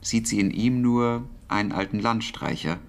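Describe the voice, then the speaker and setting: Radio drama casting: exacting, quietly smitten codemaster, very low voice, hesitant and precise, wistful. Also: male voice, lively intonation, normal speed, vocal fry. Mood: reflective storytelling. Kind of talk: German radio drama acting.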